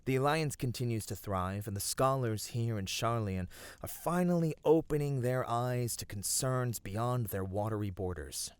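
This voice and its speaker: A man voicing a gentle, noble fantasy adventurer in an ASMR roleplay, speaking soothingly and earnestly in formal, old-fashioned phrasing. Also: medium pitch, lively intonation, normal speed, smooth, dry recording, close to an everyday voice. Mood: reassuring. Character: gentle, noble, reassuring male fantasy adventurer comforting a weary friend. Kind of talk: ASMR fantasy roleplay, scripted intimate voice acting.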